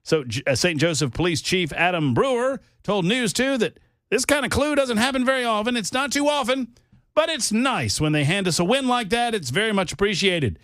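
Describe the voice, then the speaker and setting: A man's voice: high-pitched, expressive, normal speed, a bit bright, dry recording. Male radio host, playful and mocking, dry studio voice. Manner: jokey, mocking asides. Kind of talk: reading news stories on talk radio.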